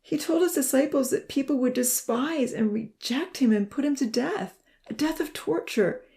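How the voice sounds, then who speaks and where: A woman voicing an anguished young male burn survivor, humble and guilt-ridden, halting with a breathy, choked voice. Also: high voice, dark tone, voice pushed up. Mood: choked, solemn. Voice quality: breathy, choked voice breaking into sobs. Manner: halting, pleading, confessional.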